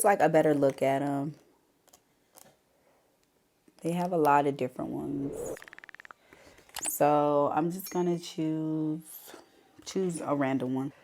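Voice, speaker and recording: A woman with a notably low voice talks through a makeup tutorial in a loose, chatty way, addressing viewers directly. Her voice sounds stuffy and congested, as she is getting over a cold. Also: a little mellow, a little hiss or hum. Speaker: beauty vlogger.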